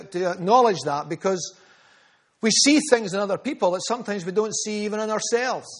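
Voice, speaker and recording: High-voiced preacher. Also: male voice. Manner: earnest, storytelling, repeats phrases for emphasis. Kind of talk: sermon.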